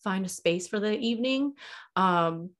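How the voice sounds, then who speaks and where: A woman's voice: medium pitch, expressive, a little slow. A woman guest on a podcast, a little stuffy-nosed, explaining in an easygoing way with many fillers and "like"s. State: stuffy nose. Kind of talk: casual podcast chat.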